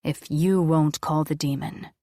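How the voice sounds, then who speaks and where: A woman voicing an ancient, stern male angel who speaks slowly, with grave, commanding menace. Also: low-pitched, fairly even intonation, mellow, no echo, clean studio sound. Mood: cold.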